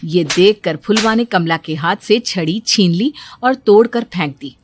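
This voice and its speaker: A woman's voice: lowish voice, unhurried, slight background noise. A woman giving scripted narration of a dramatic family story.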